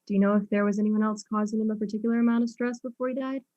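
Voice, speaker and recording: A woman's voice: mid-range voice, steady tone, racing, warm tone, muffled recording, lightly acted. A woman playing a polite, probing detective who questions suspects in a mystery drama. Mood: sympathetic. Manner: courteous, inquisitive. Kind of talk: acted mystery audio drama.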